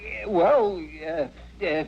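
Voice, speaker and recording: A hapless frontier deputy with a high, wildly swooping western American drawl. He stammers and rambles, put-upon and folksy. It sounds like a muffled old radio drama. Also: huge pitch swings, drawn-out words, creaky, exaggerated voice. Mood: flustered, pleading. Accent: American rural western. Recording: muffled old radio recording, faint hiss.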